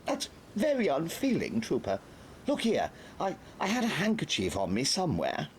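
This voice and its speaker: A man's voice: fairly high pitch, wildly swooping pitch, normal speed, pitched above the performer's own voice. A man voicing a pompous, easily flustered army major in an audiobook. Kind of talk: audiobook character voice.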